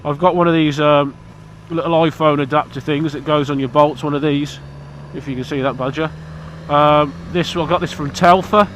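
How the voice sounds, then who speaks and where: A man's voice: a little high, fairly even intonation, moderate pace, hardly any pauses, a bit bright, a little hiss or hum. A British man, stuffy from hay fever, chatting casually to camera about his motorbike outdoors in a blunt, cheeky, rambling way. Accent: British English. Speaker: motorcycle vlogger.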